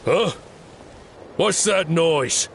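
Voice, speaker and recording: A man voicing a gruff, quarrelsome enemy soldier in a video game, loud and confrontational, with faint noise behind. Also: on the high side, lively intonation, drawn-out words, exaggerated voice. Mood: startled, angry.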